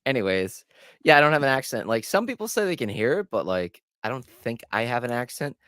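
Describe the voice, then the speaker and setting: A young man with a Massachusetts accent rambles as the host of a casual read-along stream, swearing freely and stringing thoughts together with "like". His pitch swings around a lot as he talks, and the sound is dry and close with no room echo. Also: very animated, fairly quick, a bit bright. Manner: rambling and profane, full of "like".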